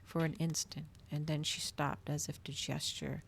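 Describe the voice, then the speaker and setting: A low-pitched woman reads fiction aloud in an ASMR style. Her delivery is hushed and close, with a crackly fry running through it, over a faint bed of noise. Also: flat delivery, normal speed. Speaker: streamer hosting a live reading. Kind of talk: ASMR storybook reading.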